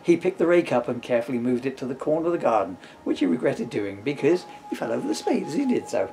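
Animated middle-aged man reading a story. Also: medium pitch, quick pace, creaky, slight background noise. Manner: playful, wry asides. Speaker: author reading from own comic book. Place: living room sofa at home. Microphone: camera a short distance away.

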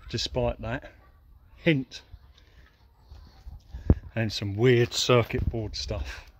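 A man's voice: mid-range voice, fairly even intonation, very slow, long pauses, a little hiss or hum. A middle-aged man giving casual, wry walking commentary outdoors on a handheld phone, swearing now and then. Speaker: graffiti vlogger. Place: outdoors by a graffiti wall and woodland. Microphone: handheld camera phone.

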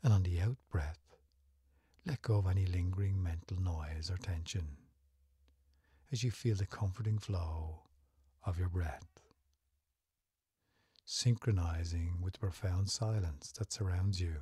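A man narrating a guided meditation in a soothing, gentle, unhurried way, his breathy, husky voice often falling close to a whisper. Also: low-pitched, no room sound.

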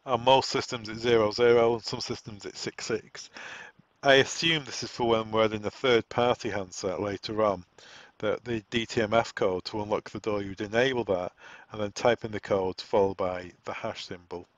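A man giving an informal software setup walk-through, explaining conversationally and thinking aloud as he goes. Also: fairly low pitch, fairly even intonation, normal speed, no echo. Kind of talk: informal software tutorial narration. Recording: dull, lo-fi, dry close recording.

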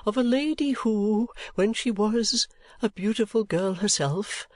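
A man voicing a kindly, excitable, sentimental old gentleman in a storybook reading, with an emphatic, halting, drawn-out delivery. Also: high-pitched, dry recording, clean studio sound, pitched above the performer's own voice. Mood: wistful, tender. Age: older.

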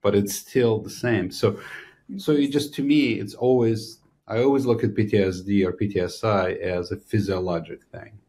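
A man in his sixties, born in Ukraine, hosting an interview in a small studio on a desk podcast mic, explaining in an animated way. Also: lowish voice, normal speed. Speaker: physician host. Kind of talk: interview conversation. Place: small studio room with a banner.

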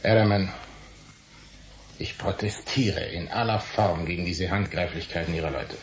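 An actor playing an indignant, wry middle-aged uncle and science writer in a German radio play, eloquent and blustering. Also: male voice, low voice, normal speed, slight background noise, close to an everyday voice, low-quality recording. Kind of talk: German radio drama acting.

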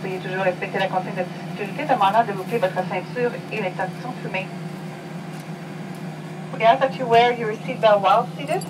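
A woman giving a scripted airline safety announcement in a measured, formal way, heard over the cabin speakers of an airplane. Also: medium pitch. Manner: measured, formal, even delivery. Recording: distant cabin speaker with engine hum.

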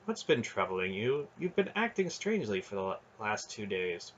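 A man's voice: on the low side, sing-song, normal speed, husky, lo-fi sound. A man voicing an earnest, worried young boy with a raised, youthful, questioning delivery.

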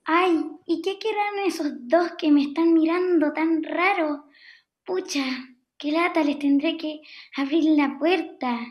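A woman's voice: very high voice, unhurried. A woman with a Chilean accent voicing a pampered, lazy female house cat in a radio play, whiny and reluctant, over a video call. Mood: annoyed, reluctant. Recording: dull video-call audio.

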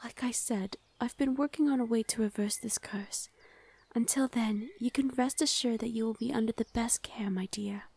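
Protective forest fae female performer, ASMR roleplay: highish, very breathy, soothing, often whispered. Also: moderate pace, a little mellow. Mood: tender, reassuring.

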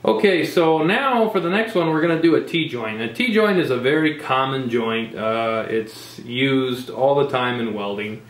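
A man's voice: mid-range voice, measured pace, hardly any pauses. Man demonstrating welding in a roomy shop. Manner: step-by-step explaining, addresses viewers as folks at home. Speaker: welding instructor. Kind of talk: on-camera workshop demonstration. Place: welding workshop. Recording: roomy workshop sound.

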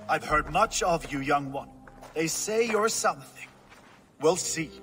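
An actor voicing a grizzled, skeptical older Viking jarl with a gruff, commanding manner in a video game. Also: male voice, a little high, expressive, measured pace, sharp tone. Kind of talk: video game cutscene acting. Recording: music behind the voice.

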